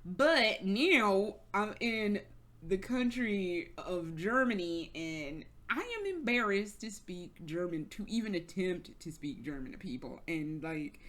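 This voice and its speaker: A woman's voice: mid-range voice, animated, slow pace, fairly bright tone. American woman, vlog storytelling, rambling, casual, profane, frequent restarts. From United States. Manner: rambling, swears freely, many restarts and "like"s. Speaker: vlogger. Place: indoors, travelling in Germany.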